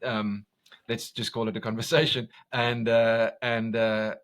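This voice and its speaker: A man's voice: mid-range voice, flat delivery, unhurried. Intense Afrikaans-accented man. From South Africa. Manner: intense, passionate, talks loud, many restarts. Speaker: commercial director, guest. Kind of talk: podcast interview.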